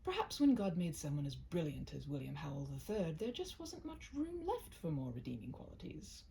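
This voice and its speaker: A woman's voice: sits low, sing-song, normal speed, gravelly, noisy background. A woman speaking as a storyteller in a cozy, intimate one-to-one style, chatty and a little self-conscious. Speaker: storyteller, host reading own short stories. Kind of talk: cozy roleplay chat to listener, then story read-aloud.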